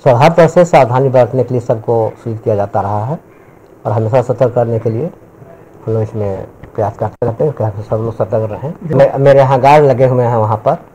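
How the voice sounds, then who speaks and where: A man's voice: mid-range voice, a little fast, dark tone, a little hiss or hum, low-quality recording. A man giving an interview statement in the manner of a local official.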